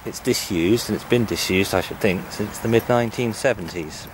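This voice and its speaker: A man's voice: lowish voice, normal speed. A man giving a casual, musing walking commentary on a handheld camera outdoors. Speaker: amateur film narrator. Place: outdoors on a country path. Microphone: handheld camera microphone. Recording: noisy outdoor camcorder sound.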